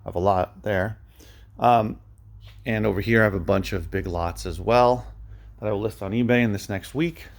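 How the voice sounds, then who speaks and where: A man giving a casual, unscripted vlog update as an online reseller, rambling and thinking out loud. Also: on the low side, moderate pace, creaky. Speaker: vlogger, online media reseller.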